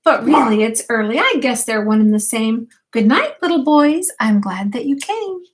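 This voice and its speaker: An older woman voicing a polite, friendly little mouse in a children's storybook, in a gentle, musing manner. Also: a little high, lively intonation, normal speed, lightly acted.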